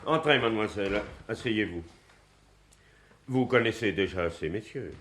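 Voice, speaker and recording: Stern, brusque police commissioner. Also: male voice, lowish voice, lively intonation, moderate pace, fairly bright tone, slight background noise. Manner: brusque, authoritative. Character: stern, sceptical, unbending male police commissioner. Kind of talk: French radio mystery play acting.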